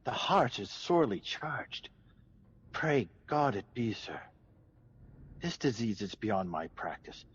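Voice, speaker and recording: A man playing a grave, pious, watchful doctor in a Shakespearean radio drama, speaking with measured, formal concern. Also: lowish voice, sing-song, husky, dull audio, heavily acted. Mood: troubled.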